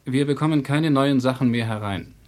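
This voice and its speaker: A man's voice: mid-range voice, normal speed, a little mellow, lightly acted. A man playing a guarded boutique owner in a German radio crime drama, speaking in a formal, firm and courteous manner.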